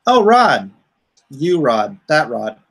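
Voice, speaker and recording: A man with a creaky, fry-edged voice hosts a casual livestream. He talks at a very unhurried pace, rambling and thinking out loud. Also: medium pitch, big pitch swings. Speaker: comic artist hosting a livestream.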